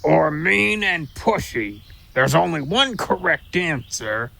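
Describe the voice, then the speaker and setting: Gravelly, pushy big squirrel. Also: medium pitch, big pitch swings, slow pace, sharp tone, full character voice. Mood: brash. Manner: brash, grumbling, slangy drawl. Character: huge pushy boastful squirrel who likes to scare travelers. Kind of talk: audio drama character acting.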